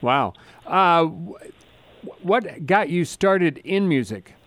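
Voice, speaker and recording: A male radio host asks his questions in a loose, conversational way, often pausing on fillers. His voice is fairly high and sounds dry and close. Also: expressive, fairly bright tone, no echo. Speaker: radio interviewer. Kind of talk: radio interview.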